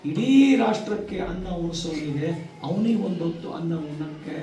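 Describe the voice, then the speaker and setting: A man giving a motivational speech to students, emphatic, with rhetorical repetition and deliberate pauses. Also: fairly high pitch, warm tone. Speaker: guest speaker addressing schoolchildren. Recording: echoey, distant microphone, faint noise.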